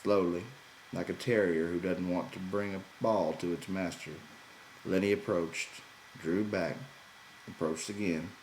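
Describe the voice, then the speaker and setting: A man's voice: low voice, steady tone, a little slow, a little mellow. A man reading a literary story aloud as scripted audiobook narration. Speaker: audiobook reader. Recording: roomy echo, faint background noise.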